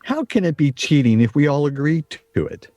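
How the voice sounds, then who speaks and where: A man's voice: a little high, big pitch swings, a little fast, warm tone, no room sound. An actor in a radio play playing a plainspoken, somewhat defensive man with a hesitant manner.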